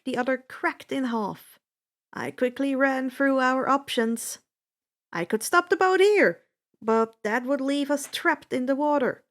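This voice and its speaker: Slow, higher-pitched woman narrating a story. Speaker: fan fiction narrator. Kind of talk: expressive first-person story narration.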